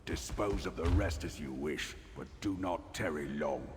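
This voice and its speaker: Very deep, ruthless villain. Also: male voice, measured pace, noisy background. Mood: cold, menacing. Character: cold, commanding male villain, ruthless captor. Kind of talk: video game voice acting. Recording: loud game ambience behind.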